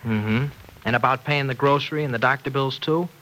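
An actor playing an earnest young working guy in love, halting and boyish with streetwise slang, in an old radio drama. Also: medium pitch, sharp tone, lightly acted, rough recording. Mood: earnest, insistent. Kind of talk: 1940s radio drama acting. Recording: old radio recording with background noise.

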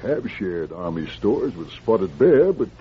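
An actor playing a courteous, burdened fort commandant in a 1950s radio western, speaking formally. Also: male voice, low-pitched, unhurried, a little hiss or hum. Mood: formal, concerned. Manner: formal, expressive. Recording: dull old radio recording.

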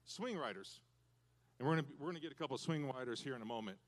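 A man preaching a lively sermon to youth and parents in a church, animated and breaking into loud cowboy shouts. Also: medium pitch, racing. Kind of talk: lively hands-on sermon with props.

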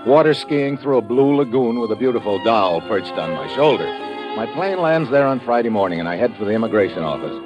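An actor playing a tough, wisecracking government agent in an old radio drama, narrating and talking in clipped slang. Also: male voice, medium pitch, expressive, a little fast, highs cut off, over music, natural voice. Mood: wry, hard-boiled. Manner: hard-boiled, clipped, slangy. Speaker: lead actor and first-person narrator. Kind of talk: 1940s-50s radio drama acting.

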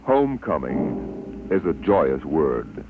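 A man's voice: rumbling low, very animated. Dramatic male radio announcer, sweeping intonation. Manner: measured, dramatic. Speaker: radio series host and announcer. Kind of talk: 1950s radio announcing, scripted narration. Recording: dull old radio recording with music. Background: prominent music.

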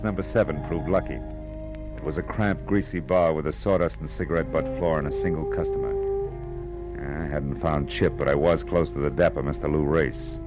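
An actor playing a wry, tough private detective in an old radio noir, with clipped, slangy delivery. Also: male voice, extremely low pitch. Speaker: narrator and lead. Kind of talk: 1940s radio noir narration and dialogue. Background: music.

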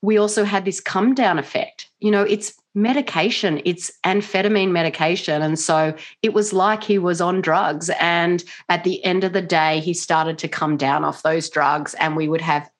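Australian woman, middle-aged, slightly low pitch, earnest, chatty interview answers. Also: moderate pace, hardly any pauses. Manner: earnest, animated, many "you know" fillers. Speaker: guest, mother and nutrition coach. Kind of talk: interview conversation.

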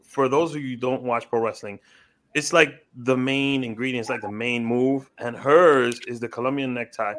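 An upbeat man hosting a podcast, asking questions with plenty of enthusiasm. He keeps the talk moving with quick affirmations. His voice sits at a mid pitch. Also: fairly even intonation, a bit bright. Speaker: podcast host. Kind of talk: casual interview chat.

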